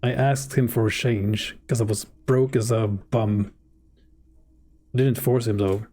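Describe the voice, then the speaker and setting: A man's voice: lowish voice, measured pace. A man whose first language is Swedish, joking and reacting casually while streaming a game. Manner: relaxed and joking, with frequent fillers. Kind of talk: casual live gameplay commentary.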